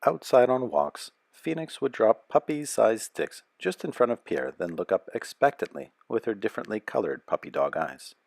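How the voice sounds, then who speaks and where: A man narrating a children's picture book aloud as a storyteller, with a measured, expressive delivery. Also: lowish voice, creaky, no echo, clean studio sound.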